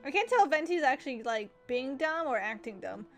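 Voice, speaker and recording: Woman, high pitch, casual gaming commentary, live reactions, soft game music behind. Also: animated, a little fast. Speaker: game streamer.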